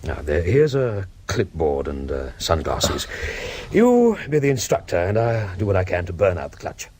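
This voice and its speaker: An actor playing a sly, probing male investigator in a radio drama, with a teasing, insinuating, deliberately offhand way of talking. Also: low-pitched, big pitch swings, a little mellow, slight background noise. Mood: sly, casual.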